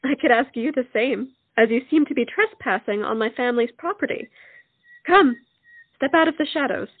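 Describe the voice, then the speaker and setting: A woman's voice: fairly high pitch, normal speed, slightly rough, dry recording, phone-quality sound. An actress playing a bold, poetic young lady of a wealthy colonial family, forthright and theatrical in a comic audio play. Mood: challenging.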